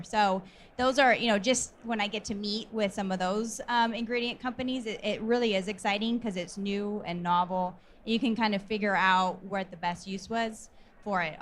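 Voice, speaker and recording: A woman's voice: medium pitch, fairly bright tone, slight background noise. A woman panelist at a trade show, speaking in a chatty, enthusiastic way with many fillers. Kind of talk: panel discussion.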